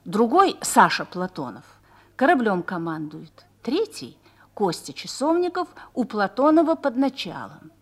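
Radio play role: seasoned garrison wife, motherly mentor, earnest and persuasive, very expressive melody. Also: fairly high pitch, measured pace, breathy edge, heavily acted. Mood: storytelling. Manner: earnest, persuasive, instructive storytelling. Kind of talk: Russian radio play dialogue.

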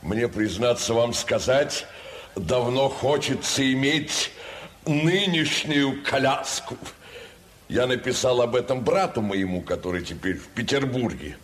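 A man's voice: mid-range voice, a little slow, lightly acted. A man playing a stout, self-important general in an audio drama, ponderous and puffing on his pipe between phrases. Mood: leisurely, condescending. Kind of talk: theatrical audio drama acting.

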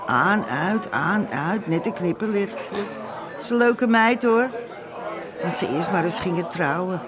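Radio drama: musing, resigned man, high pitch, crowd in background. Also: lively intonation, measured pace. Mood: resigned, fond.

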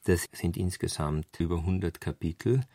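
A man's voice: deep voice, normal speed, dark tone, slightly rough, no room sound, studio quality. A man speaking as a literary scholar, explaining and enumerating point by point. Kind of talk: explanatory talk on a novel's history.

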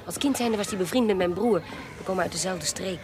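A woman's voice: lowish voice, a little fast, natural voice. A woman playing a shrewd, bold adventuress in a radio drama, speaking in a composed, articulate and wry way. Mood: wry, confiding. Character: shrewd, bold female adventuress posing as baroness. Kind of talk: Dutch radio drama acting.

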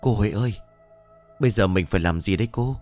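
A man's voice: on the low side, a little fast, darkish tone, faint music behind, close to an everyday voice. A man voicing a young woman, an earnest and desperate daughter who speaks politely and pleads respectfully.

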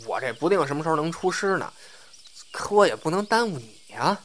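A man's voice: a little high, animated, brisk, fairly bright tone. A man playing a polite but teasing young newcomer to a comedy troupe in an audio drama. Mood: hesitant, wry.

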